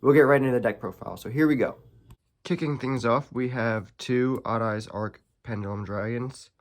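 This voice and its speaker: A young man with a stuffy, nasal voice from allergies, casually walking through a card deck, rambling with many pauses and self-corrections. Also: mid-range voice. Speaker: card game channel host. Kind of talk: casual deck profile walkthrough.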